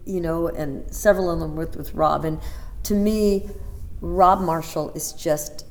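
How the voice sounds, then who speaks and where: A woman in her fifties, a dancer, chatting in an interview and telling animated stories with many restarts and asides. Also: sits low, unhurried. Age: 50s. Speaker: interview guest, Broadway dancer. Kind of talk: casual interview chat.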